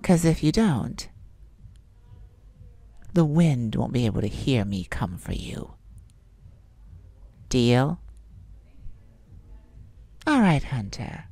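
A woman voicing a flirtatious, cunning male vampire in a slow, soft-spoken, teasing ASMR roleplay. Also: a bass voice, sing-song, no room sound, full character voice. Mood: wry bargaining.

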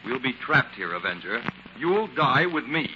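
Casting: male actor for menacing, cornered radio-play villain, highly animated delivery, muffled vintage recording. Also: normal speed, over a noisy crowd, lightly acted. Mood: desperate, threatening. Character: desperate, threatening male arsonist villain trapped by his pursuer. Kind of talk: 1940s radio acting. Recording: noisy, dull 1940s radio recording.

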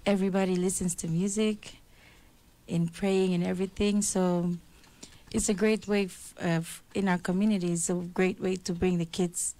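A woman's voice: on the low side, level tone, moderate pace, mellow. A woman who leads a church choir, answering a radio host in short, hesitant phrases in a small studio, holding a handheld mic. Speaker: choir president guest. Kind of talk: casual radio chat.

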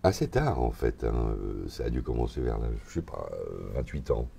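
Older man, voice actor, very deep voice, gravelly, relaxed storyteller, lapel mic, lounge. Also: normal speed. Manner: relaxed storyteller, gestures while talking. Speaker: voice actor, guest. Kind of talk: interview conversation. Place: hotel lounge, indoors.

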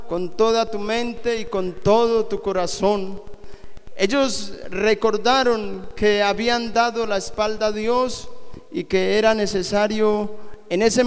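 A man's voice: high-pitched, smooth. A middle-aged man preaching and praying fervently into a handheld microphone in a small church hall, with emphatic, repetitive phrasing. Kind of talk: Pentecostal-style sermon with prayer. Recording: echoey hall reverb.

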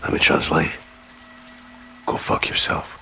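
An actor playing an irreverent special forces sergeant in a video game, with a Scottish accent and a blunt, sardonic manner. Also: male voice, measured pace, bright, forceful tone, faint music behind. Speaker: soldier character.

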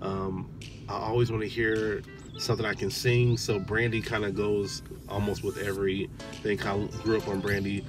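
A man in his thirties talking casually in a parked car, relaxed and chatty with lots of "um"s, recorded on a dashboard camera. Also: fairly low pitch, moderate pace, music in the background. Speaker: vlogger, father. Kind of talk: casual vlog chat.

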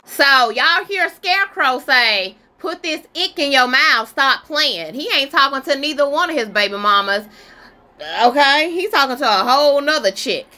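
A woman's voice: high-pitched, lively intonation, normal speed, pushed and bright. A woman giving casual reaction commentary on gossip, sassy and exclamatory, talking straight to her listeners.

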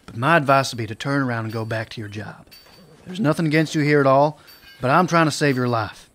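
A man's voice: medium pitch, fairly quick, fairly bright tone, close to an everyday voice. An actor playing a weary, terse male marshal in a western radio drama. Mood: grave. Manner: terse, guarded.

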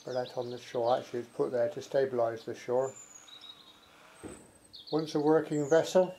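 A man's voice: medium pitch, moderate pace, dark tone, slight background noise. A man narrating a walk outdoors, chatty and admiring, with wry asides. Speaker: walking vlogger narrating. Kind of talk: casual walking commentary. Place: outdoors by a rural estuary and canal.

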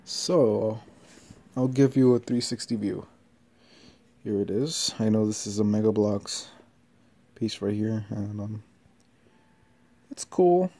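A man giving a casual hands-on tutorial in halting, short step-by-step instructions. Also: fairly low pitch, warm tone. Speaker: tutorial presenter. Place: carpeted floor in a room at home.

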